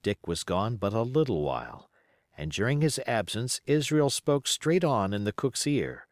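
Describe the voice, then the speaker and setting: A man reading an adventure story aloud as an expressive audiobook narrator. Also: lowish voice, moderate pace, dry recording, clean studio sound. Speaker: audiobook reader.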